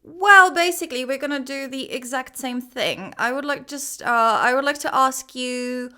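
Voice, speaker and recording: A woman's voice: sits high, normal speed, sharp tone. A woman hosting an English lesson in casual chat, a bit stuffed up with a sore throat, affectionate and chatty. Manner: affectionate, chatty, many fillers. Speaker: English teacher, channel host.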